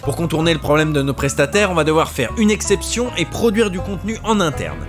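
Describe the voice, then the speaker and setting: A man's voice: a little high, animated, fast, music in the background, lightly acted. A man playing a pompous start-up CEO in a comedic audio drama, speaking grandiosely and sprinkling in English buzzwords. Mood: condescending. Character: pompous male start-up CEO spouting business jargon.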